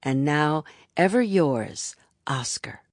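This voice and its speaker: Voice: mid-range voice, animated, slow pace, no echo, clean studio sound. An audiobook announcer reading a scripted promotional introduction in a polished, presentational manner.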